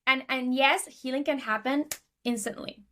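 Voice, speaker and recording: Woman, Argentinian accent, slightly high voice, chatty guest. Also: normal speed, bright, forceful tone. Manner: chatty, many fillers and restarts. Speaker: podcast guest. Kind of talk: casual interview talk.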